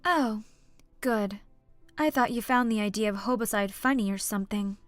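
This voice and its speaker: A woman's voice: mid-range voice, moderate pace, no room sound, lightly acted. A woman as chatty barkeep, wry rumor-spreader, dry close sound, light music bed, fantasy audiobook. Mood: relieved, wry. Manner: chatty, colorful, matter-of-fact. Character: gossipy, wry female bartender passing on rumors. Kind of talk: scripted audiobook character acting.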